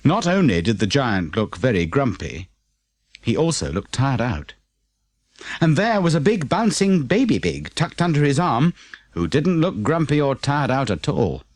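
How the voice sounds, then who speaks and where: A man with a British accent reading a children's audiobook as an expressive, scripted storyteller. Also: medium pitch, a little slow. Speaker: audiobook narrator.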